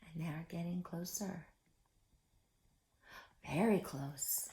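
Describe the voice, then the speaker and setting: A woman's voice: lowish voice, takes it slowly, mellow, a little hiss or hum. A woman reading a picture book aloud to young children as a story-time host, theatrical and often putting questions to her listeners.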